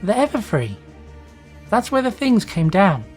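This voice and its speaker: A man voicing an eager, studious female pony princess in a storybook reading, brisk and self-assured. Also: high voice, big pitch swings, darkish tone, faint music behind, voice pushed up. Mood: brisk, calling out.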